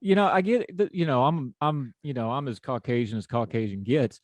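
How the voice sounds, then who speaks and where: A man's voice: medium pitch, fairly quick, a little mellow, dry recording. A man from West Virginia with an Appalachian accent, talking in a folksy, conversational way as a podcast host. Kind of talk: conversational interview.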